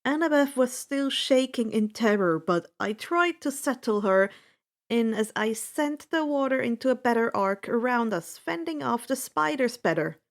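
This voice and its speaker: A woman reading a first-person adventure story aloud as an expressive, dramatic narrator. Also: on the high side, normal speed. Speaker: audiobook-style fan fiction reader.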